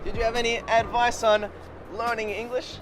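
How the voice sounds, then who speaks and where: A male host with a fairly high voice keeps things upbeat, chiming in with quick affirmations as he steers the chat. Clear music runs underneath. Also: flat delivery, rough recording. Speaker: host, English teacher. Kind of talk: casual interview. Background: background music.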